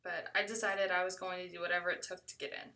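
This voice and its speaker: American woman, bright forward voice, conversational first-person storyteller, recorded a little away from the mic. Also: on the low side, flat delivery, fast talker, far from the mic.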